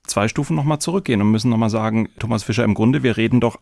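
A man's voice: fairly low pitch, rapid-fire, gravelly, darkish tone, dry recording. A man hosting a podcast discussion, talking conversationally and putting questions to his guests. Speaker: podcast host.

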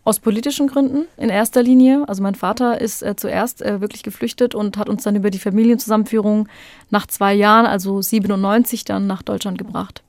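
A woman's voice: mid-range voice, steady tone, brisk, a little mellow, dry recording, clean studio sound. A woman in a band recounting a personal memory in an earnest interview answer. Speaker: band member.

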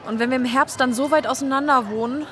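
A woman's voice: high voice, fast talker, a bit bright. An actress playing a dry, teasing teenage schoolgirl who banters in casual slang in a naturalistic radio drama.

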